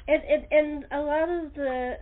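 An American woman from California hosting a casual interview chat, halting and often repeating her words. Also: sits high, fairly even intonation. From California, United States. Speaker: host. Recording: narrow phone-like call audio.

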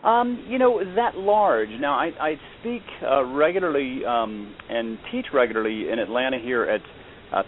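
A man's voice: mid-range voice, slight background noise. Easygoing young man on a tinny phone line. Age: young adult. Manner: easygoing, joking, many fillers. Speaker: guest chef. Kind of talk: casual phone interview. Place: Atlanta.

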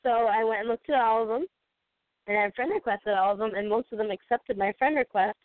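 A talkative young woman with a Boston accent, her voice a bit high and coming through a tinny phone line. She is a caller in a casual phone-in chat, and she laughs often. The sound is dry, with no room around it. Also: a little fast, no room sound.

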